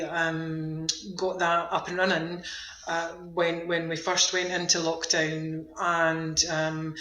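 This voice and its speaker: Bright-voiced woman in an echoey room. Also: fairly low pitch. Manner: enthusiastic, emphatic, repeats words for emphasis. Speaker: veterans' activity centre manager, interview guest. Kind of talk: conversational radio interview.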